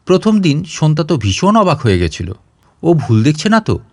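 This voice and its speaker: A man's voice: a little high, huge pitch swings, moderate pace, darkish tone, no room sound. A man reading a scripted short story as an audio narrator.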